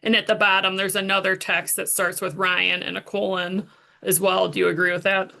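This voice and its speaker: Woman, prosecuting attorney, bright mid-pitched voice, formal procedural courtroom questioning. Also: little pitch movement, moderate pace, creaky.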